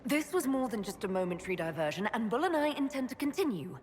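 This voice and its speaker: An actress voicing a composed, diplomatic elf mage leader in a video game, with measured, polite, cool delivery. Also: female voice, a little high, big pitch swings, slight background noise. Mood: assured, warm.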